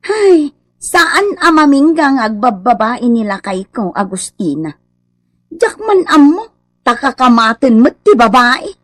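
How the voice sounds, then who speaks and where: A put-upon, jealous wife with a high, very lively voice, recorded dry. She complains dramatically in an Ilocano accent, as in a comedy radio drama with music underneath. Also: unhurried, dry recording, heavily acted. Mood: whining.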